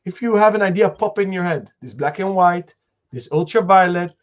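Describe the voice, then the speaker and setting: A man's voice: high voice, dull audio. A man hosting a live interview, asking questions eagerly and stammering a little as he starts his sentences. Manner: quick, enthusiastic, stammers on starts. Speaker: host, interviewer.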